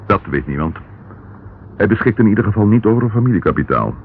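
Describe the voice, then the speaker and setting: Old tinny radio play, a dry sceptical detective, really low voice, lively intonation. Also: male voice, quick pace. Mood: dry, measured. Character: sceptical, dry male Scotland Yard inspector, seasoned sidekick. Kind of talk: Dutch radio detective drama acting. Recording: tinny, band-limited, noisy old radio recording.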